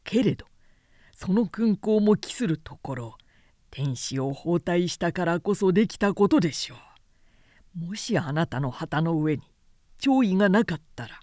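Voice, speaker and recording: Woman reader as serious royal adviser guy, low formal voice, urgent and firm, historical audiobook. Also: animated, unhurried, dark tone, no echo, clean studio sound. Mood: urgent, admonishing. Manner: formal, urgent, reasoning speech. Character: earnest male court adviser, firmly remonstrating with his lord.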